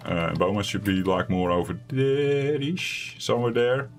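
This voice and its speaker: A man with a raspy voice streaming a survival game from home, chatting casually and rambling in a self-deprecating way. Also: fairly low pitch, animated, measured pace. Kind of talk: casual let's-play commentary.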